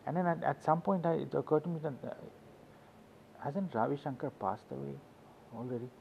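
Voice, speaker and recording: A man's voice: fairly high pitch, vocal fry, a little hiss or hum. Middle-aged guy talking english, author telling rambling stories, keeps restarting, kinda muffled lo-fi audio. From Sweden. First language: Swedish. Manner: rambling, anecdotal, halting restarts. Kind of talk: casual interview talk.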